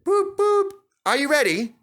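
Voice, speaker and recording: A man's voice: very high voice, big pitch swings, a little slow, a bit bright, voice pushed up, heavily acted. Man doing a growling, grumpy diesel engine. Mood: calling out. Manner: growling, barking. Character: gruff, grumpy male diesel locomotive.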